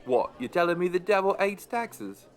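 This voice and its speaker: A man's voice: high-pitched, very animated, moderate pace. A man playing a middle-aged pub landlord who narrates a radio play in a dry, understated, wry way. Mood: wry, companionable.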